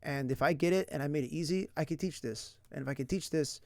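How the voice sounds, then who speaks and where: Gravelly young man chatting casually. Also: a little high, fast, darkish tone, dry recording. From San Diego, United States. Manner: rambling, full of "like" and "right?". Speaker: language learning streamer. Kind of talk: casual livestream chat. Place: indoors at night.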